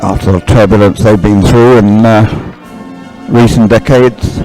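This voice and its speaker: A man's voice: fairly low pitch, steady tone, measured pace, mellow, low-quality recording. A man giving live race commentary in a conversational, searching way. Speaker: sports commentator. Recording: music playing behind outdoor live broadcast. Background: music.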